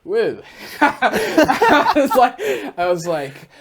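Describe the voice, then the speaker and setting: Young man, New Zealand accent, rambling storyteller, lively animated pitch, podcast co-host. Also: normal speed. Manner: rambling, full of "like" and laughter. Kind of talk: casual storytelling chat.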